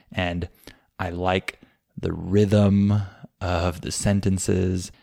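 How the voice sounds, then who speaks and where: A man with a deep, gravelly voice speaks slowly and thoughtfully, pausing often to gather his words. The recording is dry and close. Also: a little mellow, dry recording. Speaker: language learner. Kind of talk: unscripted personal explanation.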